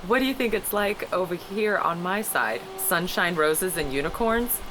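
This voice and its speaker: A woman's voice: on the low side, expressive, moderate pace, over music, close to an everyday voice. A woman acting a brash, wry, flirtatious biker woman in a script table read, bantering dryly. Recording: clearly noisy room recording.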